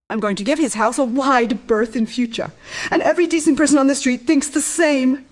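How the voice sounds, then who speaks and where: An actress playing a spiteful, gossipy middle-aged wife in a crime radio play, scornful and sarcastic. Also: female voice, sits high, normal speed, a little hiss or hum, natural voice. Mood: scornful, accusing.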